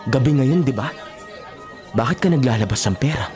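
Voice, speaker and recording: A man's voice: mid-range voice, animated, brisk, darkish tone, lightly acted. A man playing a bitter, grieving father in a radio drama, accusing and resentful toward his older sister. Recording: dramatic music behind the voice. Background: music.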